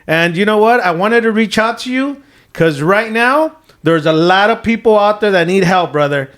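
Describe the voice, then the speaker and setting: High-voiced, hyped-up podcast host. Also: male voice, lively intonation, moderate pace, fairly bright tone. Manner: hyped, affirming, mixes in Spanish. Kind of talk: casual podcast interview.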